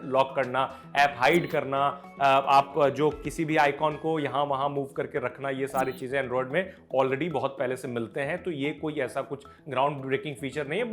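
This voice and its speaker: A man with an Indian accent presenting tech news as an informal, unscripted explainer, mixing English words into his speech. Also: mid-range voice. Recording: music playing behind the voice. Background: background music.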